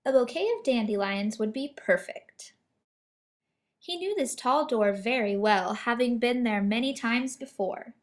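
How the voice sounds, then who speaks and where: A woman narrates a children's story in a fairly high voice. She reads expressively, as a storyteller would. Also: measured pace. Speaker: picture book reader. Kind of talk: children's story read aloud.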